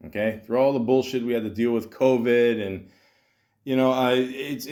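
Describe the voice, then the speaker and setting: A man hosting a podcast, delivering a rambling, profane comic monologue full of restarts. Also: mid-range voice. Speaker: podcast host. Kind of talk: casual comedic monologue.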